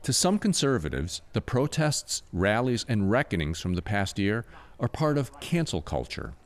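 Male American news reporter, low voice, very varied intonation, scripted narration over music. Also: very animated, moderate pace.

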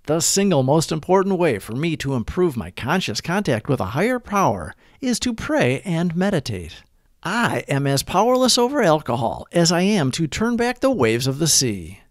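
Male narrator for reflective readings: slightly high voice, deliberate phrasing, dry close recording. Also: very animated, dry recording. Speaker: narrator of daily recovery readings. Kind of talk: scripted narration, devotional read-aloud.

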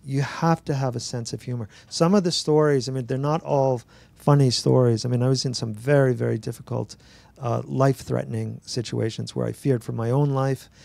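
Gravelly-voiced man telling animated stories. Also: medium pitch, brisk, no room sound. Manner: animated, acts out dialogue, many restarts. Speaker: author at a book talk. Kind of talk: relaxed storytelling to an audience. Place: bookstore event space. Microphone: handheld mic.

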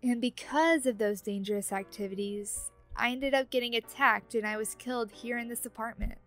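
A woman playing a friendly, teasing young ghost roommate in an ASMR roleplay audio drama, chatty and expressive. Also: a little high, normal speed, faint music behind, lightly acted. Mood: chatty, candid.

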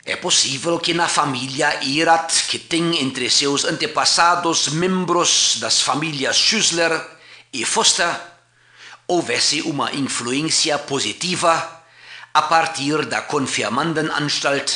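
A pastor narrates a documentary history in a measured, formal reading voice. His tone is bright and forceful, pitched a little high for a man. The room adds a clear echo.

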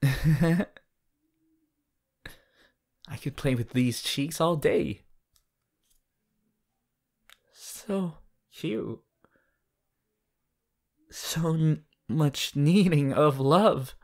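A woman playing an eager, affectionate teenage boy hero in a fan ASMR roleplay, gushing and halting as she playfully addresses the listener. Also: sits low, darkish tone, exaggerated voice. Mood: tender, adoring. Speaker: voice actor in a fan character audio.

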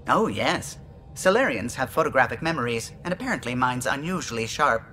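A gentle, wistful alien engineer in a sci-fi game. The voice is bright and moves around a lot in pitch, with precise, musing phrasing. A faint rumble sits behind it. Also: male voice, lively intonation, a little fast. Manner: musing, exact, clipped recollection.

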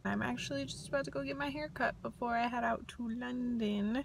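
A woman's voice: fairly high pitch, steady tone, fairly quick, gravelly. A woman in her twenties chatting candidly into a phone held at arm's length, thinking aloud as she talks about her plans. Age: 20s. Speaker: vlogger. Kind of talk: casual selfie vlog chat. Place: outdoors on a windy lakeside pier. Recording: loud wind noise on the phone mic.

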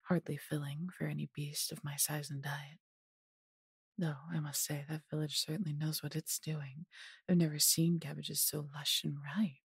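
A woman voicing a large, possessive but doting female dragon in an intimate fantasy audio roleplay, coaxing and teasing in a breathy, husky voice. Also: low-pitched, no echo, studio quality, full character voice.

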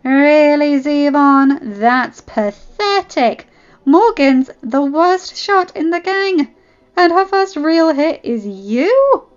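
Woman doing a mocking young female fighter, high-pitched. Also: lively intonation, takes it slowly, dull audio.

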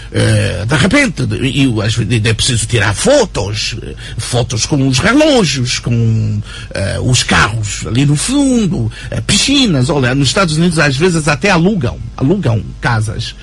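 A man talks as a radio interview guest. His voice is gravelly and very husky, and he thinks aloud with many fillers and tag questions. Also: medium pitch. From Angola. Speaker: interview guest, writer and journalist. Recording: radio broadcast recording.

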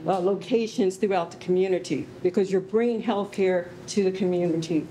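A middle-aged woman addresses an audience informally in a mellow, slightly low voice. Faint background noise can be heard. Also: a little fast, low-quality recording. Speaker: health advocate addressing audience. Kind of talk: informal public remarks. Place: large bare concrete rehearsal hall.

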